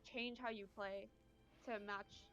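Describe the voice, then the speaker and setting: A woman in a casual voice chat who talks quickly and hedges, unsure how to put her point. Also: mid-range voice, steady tone, fairly bright tone, faint music behind. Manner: quick, unsure, often hedging.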